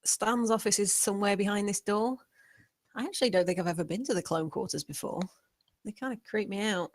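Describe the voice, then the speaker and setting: A woman's voice: medium pitch, brisk, no echo, clean studio sound, lightly acted. A woman playing a casual, wry spaceship crew member in a sci-fi comedy table read.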